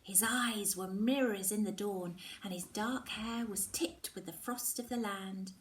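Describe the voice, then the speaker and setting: Lady teacher reading a book out loud, slow, expressive, sometimes whispery, mic a bit far away. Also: medium pitch, a little hiss or hum. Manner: expressive, drops to whispers in tense passages. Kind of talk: storybook reading.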